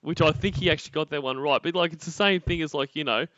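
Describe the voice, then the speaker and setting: A man's voice: a little high, fast, fairly bright tone, no echo. A man cracking jokes and laughing often as co-host of a stream reaction. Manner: mocking, laughs a lot. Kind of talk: casual banter. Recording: dull, dry home mic.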